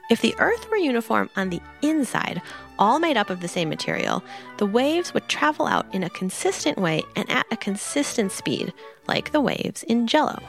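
A woman's voice: fairly high pitch, big pitch swings, moderate pace, vocal fry, soft background music. A woman host giving scripted science narration for kids, explaining with playful comparisons. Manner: bright explainer, playful analogies. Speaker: podcast host.

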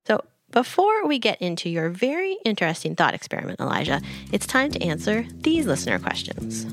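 A woman's voice: mid-range voice, huge pitch swings, a little fast, dry recording, studio quality, soft background music. A woman host giving scripted science narration for kids, explaining with playful comparisons. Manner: bright explainer, playful analogies. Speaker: podcast host.

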